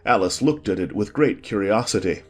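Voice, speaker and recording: A man with a husky voice reading a scripted story as an expressive audiobook narrator. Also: fairly low pitch, moderate pace. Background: faint music.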